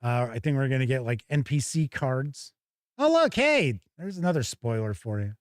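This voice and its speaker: A man's voice: mid-range voice, sing-song, normal speed, no echo, studio quality. A man hosting a tabletop game review stream, chatting casually and thinking aloud as he comments on an unboxing.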